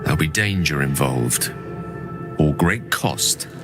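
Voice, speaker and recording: A man's voice: rumbling low, animated, slow pace, exaggerated voice. An actor playing an earnest, genteel male wizard in a fantasy game, with a formal, wordy, courteous manner. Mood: grave, urgent. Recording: music under the voice.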